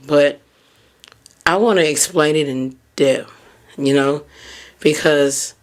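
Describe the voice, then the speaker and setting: A hoarse woman hosting a podcast, talking in a candid, encouraging way and peppering her speech with "like" and "you know". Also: sits low. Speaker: podcast host. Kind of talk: casual motivational talk.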